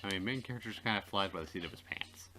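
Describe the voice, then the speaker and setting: A man's voice: on the low side, racing, bright, forceful tone. A man casually narrating a game and bantering with a co-host. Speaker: let's player. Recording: game music behind the voice. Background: game music.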